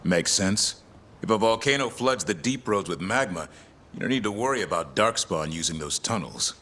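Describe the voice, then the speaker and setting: An actor playing an observant, keen warrior companion in a fantasy video game. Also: male voice, fairly low pitch, expressive, normal speed, bright, forceful tone. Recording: game sound effects over voice.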